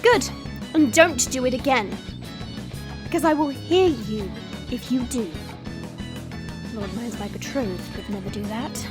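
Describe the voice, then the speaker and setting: A woman's voice: on the high side, a little slow, pauses often, over music, close to an everyday voice. A woman playing a proud, guarded dragon princess in human form, speaking in a formal, imperious way in an audio fantasy drama. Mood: stern, commanding.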